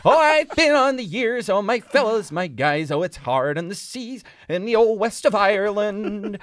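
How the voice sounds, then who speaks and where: An Irish man putting on a brash North American singer belting an Irish sea shanty with drawn-out, overdone stage-Irish crooning. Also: high voice, expressive, dry recording, studio quality, pitched above the performer's own voice. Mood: hammy.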